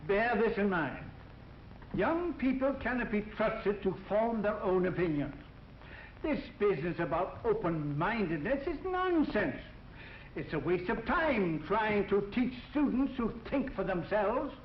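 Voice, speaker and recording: Man acting a stern, high-voiced authoritarian instructor. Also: big pitch swings, unhurried. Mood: stern, scornful. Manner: dogmatic, lecturing. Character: stern authoritarian male teacher trainer dictating to trainees. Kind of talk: 1940s educational film acting. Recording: echoey room, dull noisy old film soundtrack.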